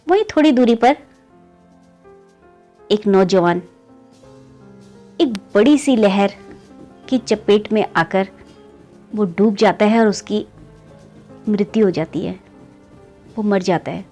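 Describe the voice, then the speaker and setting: A woman's voice: slow, pauses often, mellow, faint music behind. Woman telling a moral story to friends. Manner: keeps calling listeners "friends", pitch rising on story beats. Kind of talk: conversational moral story narration.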